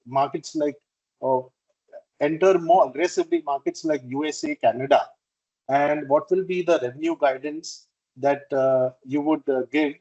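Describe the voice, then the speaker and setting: A man asking formal, halting questions as an investor on an earnings call. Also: fairly high pitch, mellow, lo-fi sound. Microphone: conference call connection.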